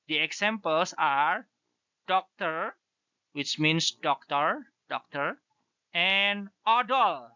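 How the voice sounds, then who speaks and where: A man's voice: fairly high pitch, sharp tone, clean tone, dry recording. A man with an Indonesian accent whose first language is Indonesian, teaching pronunciation from slides and spelling out example words one by one. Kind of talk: slide-based pronunciation lesson. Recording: dull lo-fi dry recording.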